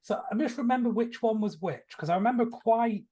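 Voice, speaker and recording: A man's voice: very high voice, brisk, mellow, slightly rough. A man talking casually and giving his opinions as a panellist on a film podcast.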